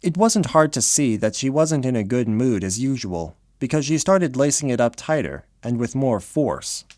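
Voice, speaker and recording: A man's voice: medium pitch, animated, normal speed, darkish tone, no room sound. Male story narrator, dry close sound.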